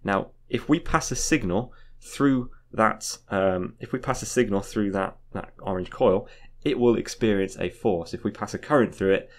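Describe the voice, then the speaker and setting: A man's voice: on the low side, moderate pace. A man teaching in an informal explainer style, going step by step, repeating points and often checking in with a short tag. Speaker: physics teacher. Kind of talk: informal explainer lesson, talking through a diagram.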